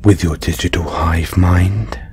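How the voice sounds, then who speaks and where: A man playing a condescending, possessive alien collector in an intimate, breathy audio roleplay. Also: low voice, steady tone, slight background noise. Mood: patronising coaxing. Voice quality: breathy, whispery. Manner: condescending, slow, coaxing. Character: condescending, possessive male alien collector keeping a human specimen. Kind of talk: audio roleplay acting, intimate ASMR delivery.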